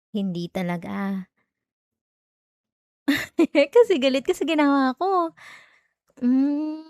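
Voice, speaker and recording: A woman's voice: a little high, warm tone, no echo, clean studio sound, lightly acted. A woman with a Filipino accent playing an obsessive, possessive stalker in an intimate ASMR roleplay, coy, teasing and sweetly menacing. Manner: coy, teasing, giggly, lingering over words.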